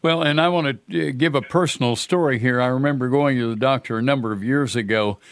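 A man's voice: medium pitch, fast talker, dry recording. An older man hosting a talk radio show, earnest and folksy in a conversational way.

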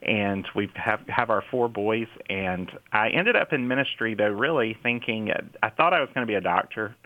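A man in his forties with a Mississippi drawl shares his story as a guest, stopping and restarting with plenty of fillers. His voice sits low and comes through a thin, tinny phone-like line. The sound is dry, with no room around it. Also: lively intonation, dry recording. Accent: Southern US (Mississippi). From Mississippi, USA. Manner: halting, with frequent fillers and restarts. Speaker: children's pastor, guest. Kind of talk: casual interview talk.

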